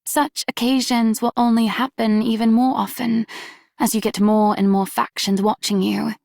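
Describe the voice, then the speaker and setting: A woman's voice: a little high, level tone, moderate pace, creaky, a little mellow, no echo, clean studio sound. A woman playing a grave, concerned video game character with a solemn, probing manner.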